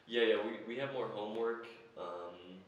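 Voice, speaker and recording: A young American man with an American accent and a very low voice, talking in a relaxed way with easy laughs. He is heard from a distance in a very echoey room, with faint noise behind. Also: normal speed, highs cut off. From United States. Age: young adult. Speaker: interviewee.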